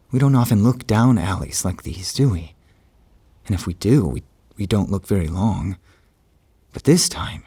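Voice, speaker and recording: An actor playing a haunted man who confides a dark secret in a halting, deliberate horror monologue, with repeated broken phrases. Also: on the low side, husky, exaggerated voice. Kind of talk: slow, scripted dramatic monologue for an audio horror drama. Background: music.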